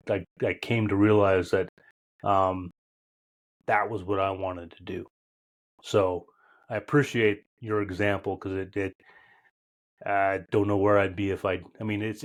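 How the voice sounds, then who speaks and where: A man in his fifties who hosts a podcast, speaking in a reflective way and searching for his words as he introduces his guest. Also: fairly low pitch. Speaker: podcast host. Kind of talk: casual interview conversation.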